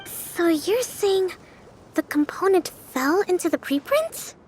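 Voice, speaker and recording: A young female game strategist, very high and lively in pitch. She is composed, gentle and persuasive, with an encouraging manner. Faint noise sits behind the voice. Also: slow pace, exaggerated voice. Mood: curious. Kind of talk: video game character acting.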